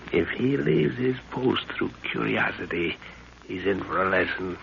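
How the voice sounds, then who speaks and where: Tough jungle explorer father in a radio play, low urgent clipped voice, dull hissy old recording. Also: expressive, measured pace, vocal fry, heavily acted. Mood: tense, watchful. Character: resolute middle-aged father, jungle adventurer. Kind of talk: 1930s radio acting.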